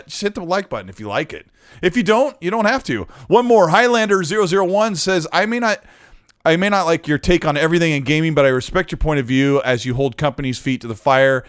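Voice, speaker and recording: A man's voice: on the high side, a bit bright, no room sound, clean studio sound. A man hosting a casual podcast, chatty and friendly as he reads out chat messages. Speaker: podcast host.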